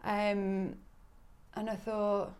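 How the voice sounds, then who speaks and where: A woman's voice: mid-range voice, extremely slow, clean tone. A woman from England with a northern English accent, a parish priest chatting easily in a podcast interview, laughing often. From Coventry, England. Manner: relaxed, chatty, laughs easily, many ums.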